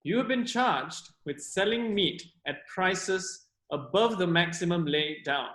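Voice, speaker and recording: A man playing a solemn, formal court clerk who declaims deliberately in a courtroom play. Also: sits high, animated, fairly bright tone. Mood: solemn, official. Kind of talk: courtroom stage play acting. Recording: echoey room.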